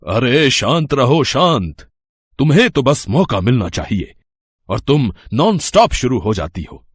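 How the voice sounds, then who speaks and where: An actor playing a middle-aged father-in-law in a cartoon, quick-tempered but fair, husky and emphatic. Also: mid-range voice, sing-song, no echo, clean studio sound. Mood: calming.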